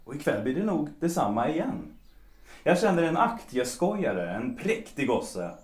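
An actor playing a cynical, self-destructive bohemian composer in a period audio drama, world-weary and mocking, with self-pity underneath. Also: male voice, fairly high pitch, big pitch swings, darkish tone. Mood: carefree, defiant. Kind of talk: period stage-play audio drama acting in Swedish. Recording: roomy, echoing sound.

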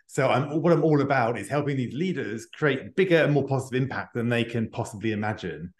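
A man's voice: mid-range voice, fairly quick. A middle-aged man speaking earnestly and reflectively as an interview guest. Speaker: podcast guest, executive coach and author. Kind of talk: conversational interview. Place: indoor room, remote video call. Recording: echoey room on a remote call.

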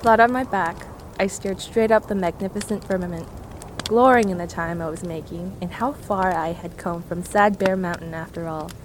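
An actress playing a lyrical reader of a wandering road tale, reciting in a literary style. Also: female voice, on the low side, natural voice. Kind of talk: literary recitation in an audio drama. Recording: faint background noise, vehicle ambience.

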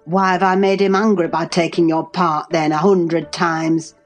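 A woman voicing a surly rustic young man from a novel, growling and gruff. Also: on the low side, little pitch movement, measured pace, faint music behind, lightly acted. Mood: aggrieved. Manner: growling, uncompromisingly gruff, cursing.

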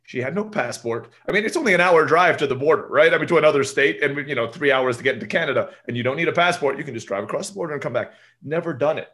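A man's voice: on the high side, animated, quick pace, few pauses, a bit bright. A man in his forties with an American accent, a native Turkish speaker raised in Turkey, telling stories as an interview guest and often tagging his sentences with "right?". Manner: rambling, tag questions like "right?". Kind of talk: casual interview talk.

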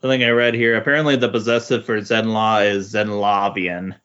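Low-voiced man in casual comic chat. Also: fairly even intonation, moderate pace, fairly bright tone. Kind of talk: casual comic-book discussion. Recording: dull, lo-fi voice recording.

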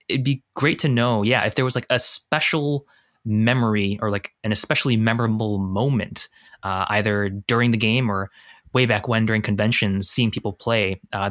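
Male host, gushing and chatty, lowish voice, dry close recording. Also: fairly even intonation, moderate pace, a bit bright, no echo. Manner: effusive, chatty. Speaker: interview host. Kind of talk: enthusiastic casual interview.

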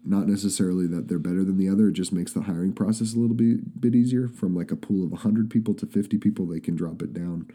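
Young man, podcast host, stuffy nose, deep voice, mellow tone, chatty. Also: fast, hardly any pauses. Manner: chatty, rambling with restarts. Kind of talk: casual chat.

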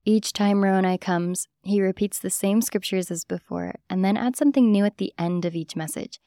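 A woman's voice: mid-range voice, normal speed, mellow, no echo, studio quality. A woman hosting and reading scripted documentary podcast narration. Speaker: host and narrator.

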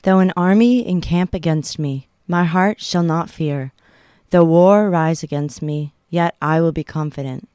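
A guest reader giving a solemn, careful and deliberate reading of a Bible psalm. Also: medium pitch, a little mellow, clean tone, no echo, clean studio sound.

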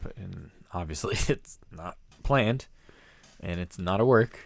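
A man's voice: low-pitched, lively intonation, measured pace, gravelly, no echo. A man co-hosting a casual podcast in a small studio, laid-back and chiming in with short replies. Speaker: podcast co-host.

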